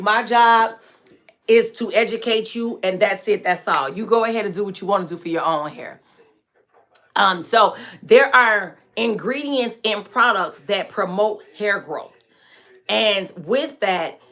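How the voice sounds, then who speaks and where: Chatty woman host, slightly hoarse. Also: mid-range voice, a bit bright. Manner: chatty, laughs often, teasing and frank. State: hoarse and dry voice from staying up late. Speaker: natural hair care host and product maker. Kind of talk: live-stream chat answering viewer comments.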